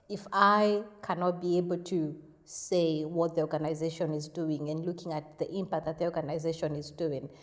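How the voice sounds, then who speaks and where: Earnest woman, low-pitched. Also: long reverb tail. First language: Kikuyu. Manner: earnest, measured, reflective. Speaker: charity organizer, interview guest. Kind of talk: casual interview answers.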